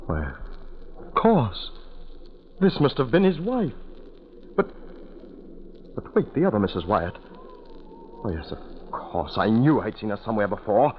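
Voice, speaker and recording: Shaken male passenger, low and slow, widely swinging delivery, halting and dramatic, 1940s horror radio. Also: sing-song. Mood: dawning horror. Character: shaken male ship's passenger recalling a horrifying tragedy. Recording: scratchy tinny 1940s radio recording.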